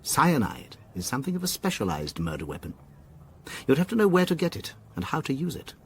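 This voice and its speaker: An actor playing a wily, evasive, condescending veteran academic in a radio drama, with an arch, teasing delivery. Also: male voice, medium pitch, wildly swooping pitch, fairly quick. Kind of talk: British radio drama acting. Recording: noticeable ambient background noise.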